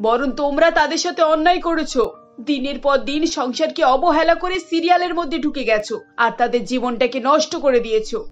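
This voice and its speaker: A woman's voice: sits high, fast talker, highs cut off, faint music behind, close to an everyday voice. A woman voicing a stern, sensible mother in a cartoon who firmly scolds her daughter. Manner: firm, reproachful.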